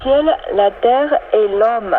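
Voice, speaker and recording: A woman's voice: a little high, slow. A woman presenting on a radio broadcast, heard through a shortwave receiver. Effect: shortwave radio reception. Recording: noisy narrow shortwave radio, tinny speaker.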